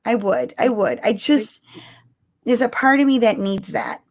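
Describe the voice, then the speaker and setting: A woman's voice: fairly high pitch, moderate pace. A woman co-hosting a live podcast, chatting casually and emphatically like an eager fan. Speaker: podcast co-host.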